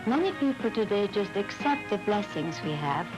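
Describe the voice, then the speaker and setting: A woman's voice: medium pitch, big pitch swings, music in the background, poor audio. Woman giving a formal speech. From Hungary. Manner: earnest, measured, patriotic. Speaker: public speaker. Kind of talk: formal address.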